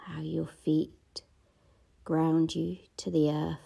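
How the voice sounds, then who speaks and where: A woman guiding a gratitude body scan meditation, speaking softly and slowly and often dropping into a half-whisper. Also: low-pitched, level tone, creaky, breathy edge. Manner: slow, soft-spoken, often half-whispered. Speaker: meditation guide. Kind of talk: guided meditation.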